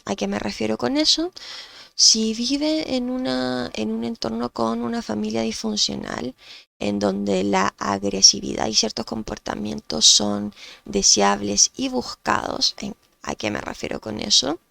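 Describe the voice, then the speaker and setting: Nasal, gravelly Chilean woman explaining. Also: on the high side, fairly even intonation, fairly bright tone, no room sound. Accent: Chilean Spanish. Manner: thoughtful, pauses with fillers. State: nasal from allergies. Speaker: guest psychologist. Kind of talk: explanatory podcast talk.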